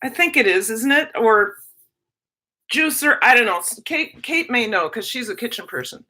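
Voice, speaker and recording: A woman's voice: a little high, expressive, moderate pace, sharp tone. A woman with a Minnesota accent, chatting casually on a livestream, answering viewers in a rambling, self-interrupting way. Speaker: teacher and livestream host. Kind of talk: casual livestream chat, reading viewer comments.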